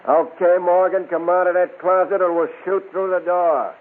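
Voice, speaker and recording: Guy playing tough cop barking threats, raised high voice, noisy tinny old radio. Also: steady tone, moderate pace, natural voice. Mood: threatening. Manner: barking short commands. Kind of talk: 1940s radio crime drama acting.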